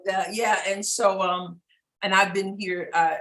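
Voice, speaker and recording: A woman's voice: mid-range voice, fairly even intonation, measured pace, pushed and bright, roomy sound. A woman from New York hosting a talk show, upbeat and direct as she leads a conversation with guests. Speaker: host.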